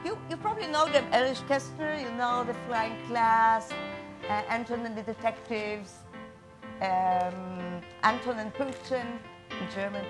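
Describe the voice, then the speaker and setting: A woman's voice: on the high side, measured pace, over music. Chatty woman tour guide. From Israel. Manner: apologetic, chatty, confiding. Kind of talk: stage monologue.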